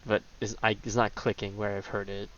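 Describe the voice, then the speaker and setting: A man's voice: lowish voice, flat delivery, a little fast, highs cut off. A man giving casual, slangy, offhand commentary as he streams a video game. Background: game music.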